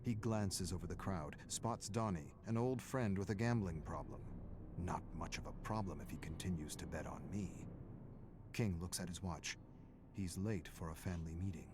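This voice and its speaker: A man reading a dramatic scripted story in a measured, brooding way, in short clipped phrases. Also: low-pitched, background noise. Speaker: narrator.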